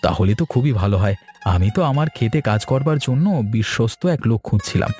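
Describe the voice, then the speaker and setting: A man's voice: mid-range voice, lively intonation, fast, darkish tone, soft background music, natural voice. A man playing a busy, kindly village farmer in a cartoon, explaining things in an avuncular way. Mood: advising. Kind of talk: cartoon dialogue acting.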